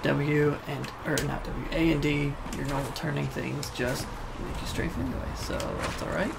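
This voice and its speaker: Creaky-voiced man over loud game noise. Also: a little high, steady tone, normal speed. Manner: informal, rambling asides. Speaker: gaming video host. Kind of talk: casual gameplay commentary. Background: game audio.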